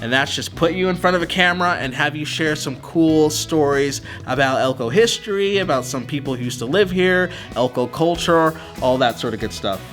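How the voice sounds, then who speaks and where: A lively, talkative American man hosting a local interview show, his voice sitting a bit high for a man. Soft music plays underneath. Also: normal speed, hardly any pauses. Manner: energetic, chatty, enthusiastic. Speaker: host of a local web show. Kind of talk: upbeat interview host chat. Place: outdoors at a local museum, windy.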